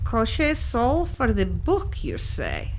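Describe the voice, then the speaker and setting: Ancient sly witch, unhurried formal delivery, animated pitch, audio drama, faint background noise. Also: exaggerated voice. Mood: suspicious, curt. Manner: slow, formal, haughty speech with cackles.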